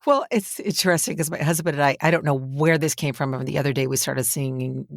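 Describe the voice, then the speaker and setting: A woman's voice: low voice, fast, creaky, no room sound, studio quality. Older woman host on a headset. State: a little tired. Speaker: podcast host. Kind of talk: interview questions.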